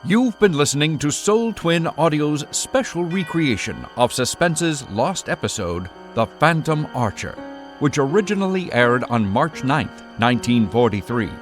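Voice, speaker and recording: Lively male radio announcer over music. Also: on the high side, unhurried. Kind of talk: scripted old-time radio announcing. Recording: music under the voice.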